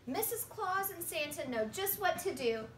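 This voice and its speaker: A young woman reading a children's storybook aloud in an expressive, singsong way. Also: very high voice, moderate pace. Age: young adult. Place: living room at home, indoors. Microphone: phone camera a few metres away. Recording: roomy, distant phone recording with faint noise.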